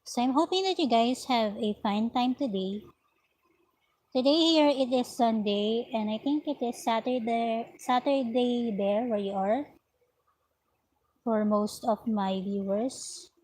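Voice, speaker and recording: A woman vlogging about her crochet work in an unhurried, chatty way, her voice slightly raspy. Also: on the high side, darkish tone, rough recording. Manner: unhurried, chatty, talks while working. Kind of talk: slow casual vlog narration while crocheting. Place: at home, indoors.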